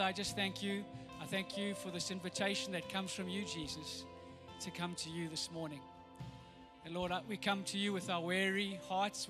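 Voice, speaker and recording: A middle-aged South African man with a slightly croaky voice, praying and preaching a sermon with earnest, rising emphasis. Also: sits high. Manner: earnest, builds to rousing emphasis. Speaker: pastor. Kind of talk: sermon with prayer.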